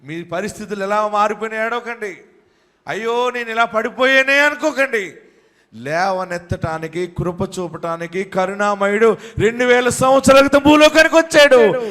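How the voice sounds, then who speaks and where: Fervent high-voiced preacher man, echoing hall. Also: moderate pace, a bit bright. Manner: fervent, repetitive exhorting to a congregation. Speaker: pastor. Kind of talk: sermon. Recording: long hall reverb.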